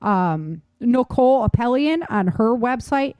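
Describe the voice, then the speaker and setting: A woman's voice: fairly high pitch, expressive, a little slow, a little mellow, clean tone, no room sound. A middle-aged American woman hosting a podcast, talking informally and emphatically as she instructs her listeners directly. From United States. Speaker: podcast host. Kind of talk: casual instructional podcast talk.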